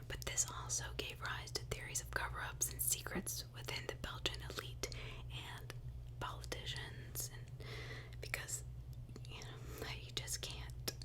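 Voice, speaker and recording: Whispering woman telling true crime. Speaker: true crime ASMR host.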